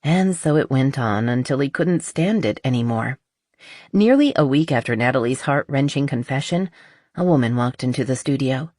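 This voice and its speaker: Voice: mid-range voice, animated, normal speed, a little mellow, no room sound, clean studio sound. An audiobook narrator reading scripted romance fiction.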